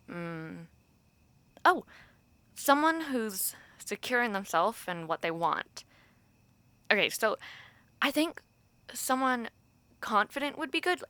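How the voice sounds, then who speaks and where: A woman's voice: fairly low pitch, gravelly, pushed and bright, dry recording, heavily acted. A young woman playing a thoughtful, romantic university student who confides hesitantly in a friend, in an intimate, conversational audio roleplay. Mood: musing, casual.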